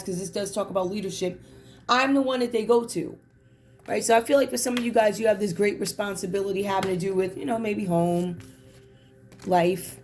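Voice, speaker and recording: A hoarse woman doing a casual tarot reading, chatty and reflective with many fillers. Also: medium pitch, darkish tone. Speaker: tarot reader.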